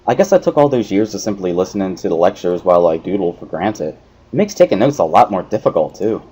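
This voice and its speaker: Man reading a story, lively intonation. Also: deep voice, quick pace, husky. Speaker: narrator of a let's play series. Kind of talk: dramatic reading of visual novel, first-person narration. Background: background music.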